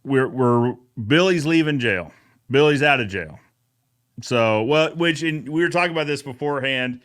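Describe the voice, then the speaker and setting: A man hosting a casual recap chat, retelling events in an animated way and acting out bits of dialogue. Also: medium pitch, normal speed. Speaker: show host.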